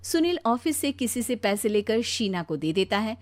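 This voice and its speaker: High-voiced woman narrating a story. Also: very animated, moderate pace. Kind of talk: scripted cartoon story narration.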